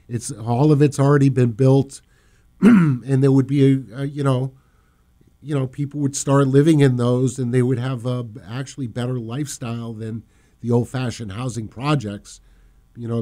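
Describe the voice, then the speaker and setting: A man from Massachusetts co-hosting a radio show, rambling casually, trailing off and often clearing his throat. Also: mid-range voice, fairly even intonation, moderate pace, dark tone. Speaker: radio co-host. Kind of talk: casual talk radio banter.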